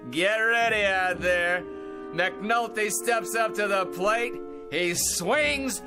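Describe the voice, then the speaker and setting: An actor playing a brash, boastful American dreamer with cocky hustler patter in a theatrical radio drama style. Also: male voice, sits high, drawn-out words, music in the background. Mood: gleeful triumph. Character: brash, boastful man, a scheming big-talking dreamer.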